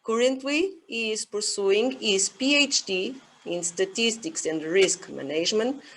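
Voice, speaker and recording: A woman's voice: mid-range voice, a little slow, a bit bright, a little hiss or hum, poor audio. A woman with a Portuguese accent chairing an online conference session, often hesitating with fillers. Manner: hesitant, many fillers, switches between Portuguese and English. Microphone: video call.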